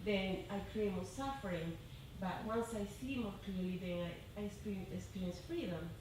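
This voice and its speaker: A woman taking part in a meditation group, sharing personal experience in a hesitant, halting way. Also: mid-range voice. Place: group meditation room, far from microphone. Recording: echoey, distant microphone, noisy background.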